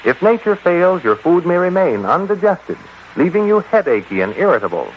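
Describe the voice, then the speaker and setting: An actor in a vintage radio commercial, playing an everyman who delivers an announcer's sales pitch. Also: big pitch swings, moderate pace. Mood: earnest selling. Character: irritable man with indigestion turned cheerful pill pitchman. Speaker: advertising announcer. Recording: muffled, hissy old radio recording.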